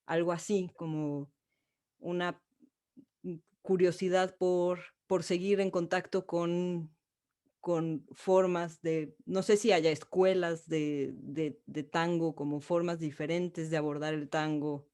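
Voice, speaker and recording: Reflective woman, lower voice. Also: fairly even intonation, slow pace, warm tone, clear, resonant tone. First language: Spanish. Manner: reflective, thinks aloud. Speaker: host. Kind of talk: interview conversation.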